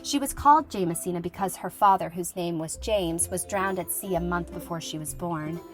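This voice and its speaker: A woman playing a lively young schoolteacher reading out her letter in a conversational, wryly humorous way, in an acted audiobook. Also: fairly low pitch, normal speed, lightly acted. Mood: eager, friendly. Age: young adult. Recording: music playing behind the voice.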